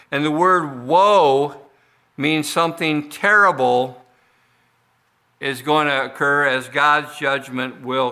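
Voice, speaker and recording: Slow, bright-voiced male preacher. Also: fairly high pitch. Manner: slow, deliberate expository preaching with frequent restarts. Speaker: pastor. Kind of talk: sermon.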